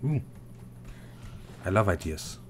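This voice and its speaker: A low-voiced Danish man talks slowly through a game stream, murmuring to himself as he plays and answering viewers. Faint game ambience sits behind him. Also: very animated, a little hiss or hum. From Denmark. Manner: soft-spoken and unhurried, muttering while playing. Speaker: gaming streamer. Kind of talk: live gameplay commentary reading chat.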